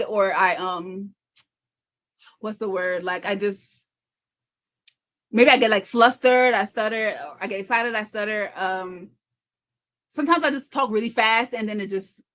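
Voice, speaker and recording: Woman doing a casual live chat, mid pitch, kinda stumbles n repeats stuff, reads comments out. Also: fairly bright tone. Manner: talks fast, stumbling and repeating herself. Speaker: livestream host and content creator.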